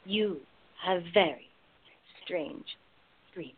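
An actress playing a wry, protective woman in love in a radio play, husky and mock-grumbling. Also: on the low side, very slow, exaggerated voice. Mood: dry, teasing. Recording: narrow phone-like sound.

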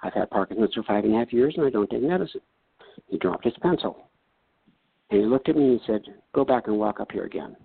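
Gravelly older man on a tinny line. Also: fairly low pitch, lively intonation, fast, dry recording. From North Dakota. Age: 70s. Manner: plain storytelling, folksy and earnest, acts out quoted exchanges. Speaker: retired doctor. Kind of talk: personal story, casual talk. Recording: tinny phone-like line, dry.

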